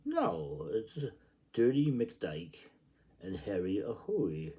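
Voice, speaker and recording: Male literary reader, slow-paced and theatrical, playful and exclamatory, with big swoops in pitch. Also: huge pitch swings, dark tone. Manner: playful, exclamatory delivery with sudden outbursts. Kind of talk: theatrical literary reading aloud.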